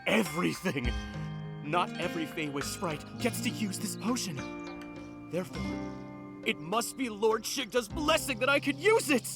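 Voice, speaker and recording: A man's voice: high voice, expressive, a little slow, creaky, full character voice. A man playing a zealous, starry-eyed little sprite in a video game, boastful, dramatic and gushing with reverence. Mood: worshipful, boastful. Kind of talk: video game character acting. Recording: music behind the voice.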